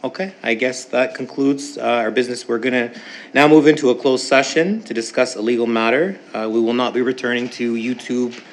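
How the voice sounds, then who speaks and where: A man chairing a town council meeting, reading motions briskly and joking lightly with the room. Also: mid-range voice. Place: council chamber.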